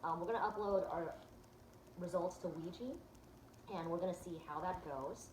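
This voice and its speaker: A woman's voice: fairly low pitch, normal speed, a little mellow, roomy sound, slight background noise, low-quality recording. A woman vlogger chatting casually as she clicks through her results, with plenty of ums and restarts. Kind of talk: casual chat, walking through results on screen.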